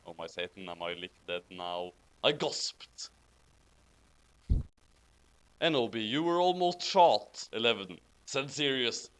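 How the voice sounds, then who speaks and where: A man's voice: medium pitch, lively intonation, unhurried, a bit bright, smooth, low-quality recording. A man reading fan fiction aloud theatrically, with amused, giggly commentary. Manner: theatrical, hammy emphasis; giggly, breaking into laughter.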